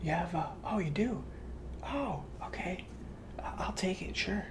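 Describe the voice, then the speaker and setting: A man playing a friendly, awkward, down-on-his-luck barber on the run, rambling haltingly in an ASMR roleplay with a breathy whisper. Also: high voice, expressive, background noise. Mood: pleasantly surprised. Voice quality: breathy whisper with some fry.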